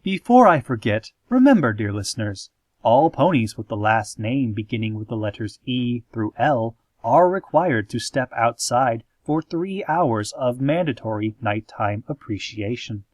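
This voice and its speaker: A man playing a calm, eerie small-town radio announcer, reading scripted parody news in a measured, theatrical, deadpan way. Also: medium pitch, dry recording. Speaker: radio host in a parody podcast.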